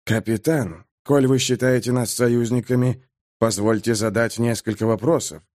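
A man voicing a shaken but polite captive investigator with a subdued, halting manner in an audiobook story. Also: mid-range voice, steady tone, a little mellow, dry recording, studio quality, close to an everyday voice. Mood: composed, polite.

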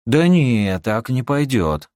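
Мужчина озвучивает практичного, хитроватого начальника, который напористо торгуется, персонаж аудиокниги. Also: male voice, mid-range voice, very animated, takes it slowly, darkish tone, dry recording, clean studio sound, pitched above the performer's own voice. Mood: haggling. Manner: persuasive, slangy. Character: shrewd male boss haggling briskly, stubborn and practical.

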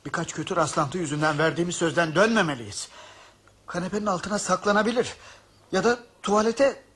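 A man's voice: high-pitched, brisk, fairly bright tone, a little hiss or hum. An actor playing an anxious, insistent male passenger who wants to help, pleading urgently in a radio drama. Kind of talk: radio theatre acting.

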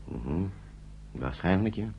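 An actor playing a kindly older gentleman who looks after foster children in a radio drama, speaking courteously and hesitantly, repeating himself. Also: low voice, lively intonation, slow, vocal fry, heavily acted. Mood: earnest, hesitant. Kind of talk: naturalistic radio play acting. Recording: dull, lo-fi old radio play recording.

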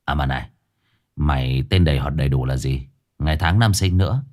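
A man voicing a gruff young male exorcist who speaks low and mockingly. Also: a little fast, a little mellow. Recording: faint background music.

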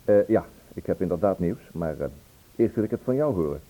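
A man acting in a Dutch radio play as a brisk, blunt police inspector with a conversational delivery. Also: low voice, lively intonation, warm tone. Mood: exasperated. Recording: thin narrow-band old radio recording.

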